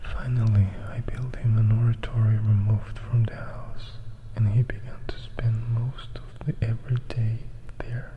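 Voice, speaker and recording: A melancholy, composed vampire whispers his memories slowly and with little change in tone, as a man reads him for ASMR. The telling is reflective and hushed, like a gothic storybook at bedtime. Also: fairly low pitch, flat delivery, muffled recording, natural voice. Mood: wistful, confiding. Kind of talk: whispered ASMR audiobook reading.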